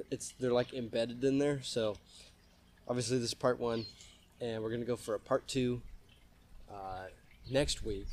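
A man's voice: mid-range voice, darkish tone, a little hiss or hum. A young man talking loosely to the camera outdoors about his do-it-yourself project, with fillers and restarts. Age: young adult. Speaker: fishing vlogger. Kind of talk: casual DIY vlog talk. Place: outdoors by a wall in a yard.

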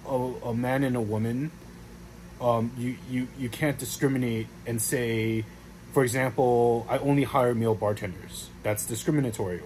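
A young man talks straight to a close camera in a small room at home, rambling through his points with lots of fillers and restarts. His pitch sits in an ordinary middle range, and a faint hum of room sound is heard behind him. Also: darkish tone, poor audio. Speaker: vlogger explaining workplace law. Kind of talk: casual explainer to camera.

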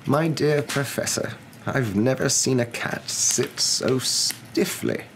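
A man voicing a gentle, calm old wizard with a courteous, unhurried and whimsical way of talking, in a storybook reading. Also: medium pitch, pitched above the performer's own voice. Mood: amused. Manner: unhurried, courteous, mildly amused. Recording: clear background noise.

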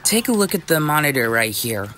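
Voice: mid-range voice, big pitch swings, fairly quick, fairly bright tone, a little hiss or hum. A performer with a woman-like voice acting out an easygoing, dutiful werewolf police chief in scripted ASMR roleplay.

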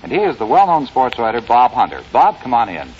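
A man's voice: mid-range voice, huge pitch swings, a little fast. A man hosting and interviewing in a polished, formal 1950s radio announcer style. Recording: dull, noisy old radio recording.

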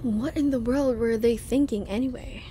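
A woman's voice: fairly high pitch, normal speed, warm tone, slight background noise, close to an everyday voice. A woman playing a short-tempered, resolute young secret agent out for justice in an audio drama. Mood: determined.

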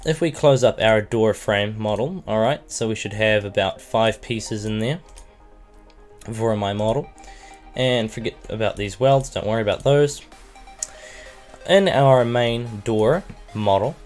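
A man with a croaky, sick voice, casually walking through a game-building tutorial step by step. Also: medium pitch. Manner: casual, explains step by step with many okays and uhs. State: hoarse from being sick. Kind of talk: screen-recorded tutorial narration. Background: faint background music.

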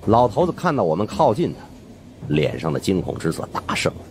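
A man's voice: deep voice, huge pitch swings, fairly quick, darkish tone, slight background noise. A man narrating a scripted first-person audiobook story in a colloquial storyteller's manner.

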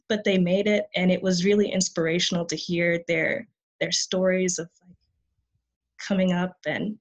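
A young woman in her twenties, a student, giving a presentation over a video call, soft-spoken and hesitant with frequent fillers. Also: fairly low pitch. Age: 20s. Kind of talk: conference presentation.

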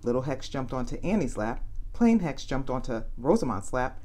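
A woman reading a children's picture book aloud as an animated, clearly phrased storyteller. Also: a bass voice, normal speed. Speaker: story reader.

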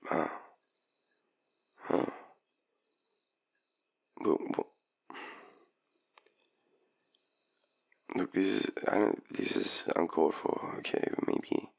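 A very low, heavily creaky Australian male voice from an actor playing a drunk young man with a crush. He stammers and apologises in an intimate audio roleplay, halting between murmured phrases. The pitch wanders widely, and the recording sounds slightly dull. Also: very animated, darkish tone, exaggerated voice. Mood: awkward, hesitant, then apologetic, hopeful. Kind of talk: intimate boyfriend roleplay, as a late-night phone call.

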